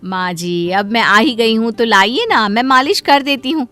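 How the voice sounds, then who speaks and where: A woman's voice: a little high, lively intonation, normal speed, fairly bright tone, soft background music. A woman voicing an elder daughter-in-law in a cartoon story, seemingly dutiful, coaxing and full of self-justification. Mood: coaxing, eager. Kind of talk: Hindi moral cartoon story voice acting.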